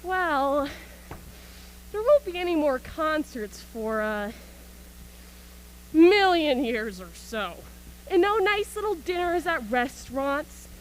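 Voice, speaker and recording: A woman's voice: high voice, sing-song, takes it slowly, pauses often, heavily acted, poor audio. A woman acting an embittered, manic militia soldier in a stage radio play, ranting in colloquial speech about surviving an invasion. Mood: scornful, resigned. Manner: colloquial, ranting, punctuated by scornful laughs. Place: school auxiliary gym.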